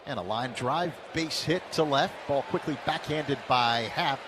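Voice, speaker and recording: Man, baseball radio announcer, brisk pitch-by-pitch calling, noisy broadcast. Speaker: play-by-play announcer. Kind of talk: live baseball radio play-by-play.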